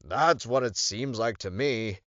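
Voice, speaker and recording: A man's voice: mid-range voice, unhurried, a bit bright, no room sound, lo-fi sound, pitched above the performer's own voice, close to an everyday voice. A man voicing an exasperated male friend who scolds a werewolf alpha in an audiobook. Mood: angry.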